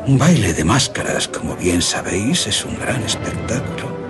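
A man's voice: fairly low pitch, very animated, normal speed. An older man with a Castilian Spanish accent playing a grieving aristocratic general who recounts his tragedy in florid radio drama style. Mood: solemn narration. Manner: florid, theatrical, dramatic storytelling. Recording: music under the voice.

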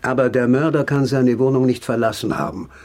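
A man's voice: medium pitch, fairly quick, a little mellow, close to an everyday voice. A man acting, playing an insistent amateur detective who questions urgently and emphatically. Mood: urgent, determined. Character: insistent amateur detective hunting a murderer, polite but probing. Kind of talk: German radio crime drama acting.

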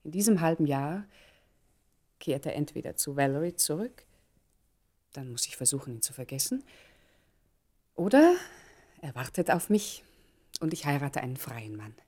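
A woman's voice: sits low, big pitch swings, normal speed, long pauses, warm tone. Resolute woman with sweeping intonation. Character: poised, determined mother resolving to test her lover. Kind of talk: German radio play acting.

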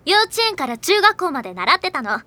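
A woman playing a brash, energetic high school girl who bosses her band around, in an anime audio drama. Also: fairly quick, dry recording, full character voice. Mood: confident, breezy. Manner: brash, commanding, confident.

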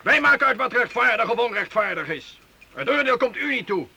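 High-pitched imperious count. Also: male voice, lively intonation, a little fast, bright, forceful tone, slightly rough, heavily acted. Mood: stern questioning. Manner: haughty, formal. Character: imperious medieval count, stern and commanding. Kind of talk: historical radio drama acting. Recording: very noisy old radio recording.

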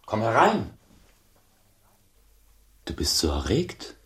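A man acting an old, revered monk in a German radio drama, speaking in a hushed, solemn, measured way with a breathy, gravelly voice. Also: lowish voice, huge pitch swings, exaggerated voice. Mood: grave, restrained. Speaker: actor and first-person narrator.

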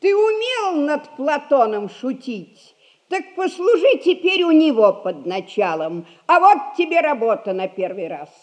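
An older actress playing a shrewd, domineering old merchant matriarch in a 1960s Russian radio comedy, drawling in a folksy, mocking way. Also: female voice, sits high, very animated, unhurried, a little mellow. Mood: commanding. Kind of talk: classic Russian stage comedy acting, 1960s radio play.

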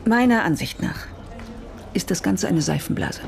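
An actress playing a tough, sceptical prosecutor in a radio drama, speaking brusquely. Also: female voice, on the low side, lively intonation, moderate pace, gravelly.

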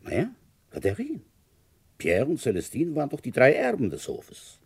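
An actor playing a shrewd, quietly ambitious rural police inspector in a German radio crime drama, questioning in a pointed, probing way. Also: male voice, medium pitch, huge pitch swings, moderate pace, gravelly, breathy edge, full character voice. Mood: blunt, insistent.

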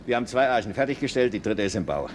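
An actor playing a proud, courteous ark builder with formal, showy sales patter, in a noisy old 1950s German radio play recording. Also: male voice, on the low side, lively intonation, racing, natural voice. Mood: self-satisfied.

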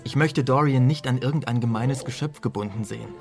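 An actor playing an earnest, concerned gentleman painter in a radio drama, serious and restrained in his delivery. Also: mid-range voice, brisk, music in the background, lightly acted.

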